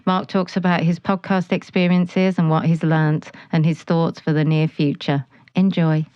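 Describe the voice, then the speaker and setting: A woman reads a scripted introduction in a flat, even, measured way. Her voice is smooth and lowish. The recording is dry and a little dull. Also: no echo. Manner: even, read-from-script delivery. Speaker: episode announcer. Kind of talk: scripted announcement.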